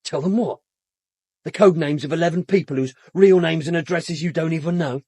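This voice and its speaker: An actor playing a domineering, secretive young British radical in a radio drama, curt, contemptuous and emphatic. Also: male voice, fairly high pitch, a little fast, warm tone, husky, no room sound. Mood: menacing, defensive.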